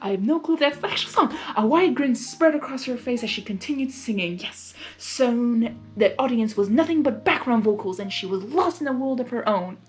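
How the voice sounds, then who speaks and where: A woman reading fan fiction aloud as a host, gushing and giggly, breaking in with excited asides. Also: on the high side, moderate pace, hardly any pauses, low-quality recording.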